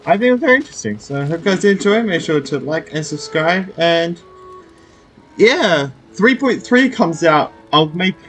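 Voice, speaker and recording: Higher-voiced young man commenting on a game. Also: animated, normal speed, fairly bright tone. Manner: informal, rambling. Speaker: gaming content creator. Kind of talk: casual playthrough commentary. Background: faint game music.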